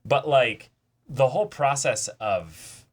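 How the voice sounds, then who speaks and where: Male reaction co-host, mid-pitched, conversational, emphatic and halting, informal. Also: animated. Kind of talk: casual reaction discussion.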